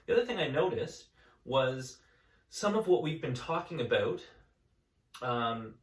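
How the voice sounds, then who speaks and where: Gentle male teacher reading aloud. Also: medium pitch, a little slow. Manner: gentle, calm storytelling with chatty asides to kids. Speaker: elementary school teacher. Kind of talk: reading a picture book aloud to students.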